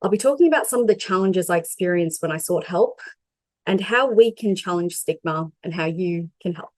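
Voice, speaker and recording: A woman's voice: on the low side, lively intonation, a little fast, no echo, rough recording. A young woman from Sri Lanka giving a prepared talk as a mental health advocate, dialing in over a video call. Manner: reads a prepared presentation steadily.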